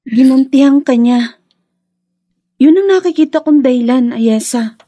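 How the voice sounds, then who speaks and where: An actress playing a caring, supportive young woman in a radio drama, husky and sympathetic. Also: sits high, measured pace, dark tone, dry recording. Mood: concerned, consoling. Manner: consoling, sympathetic. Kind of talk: Tagalog radio drama acting.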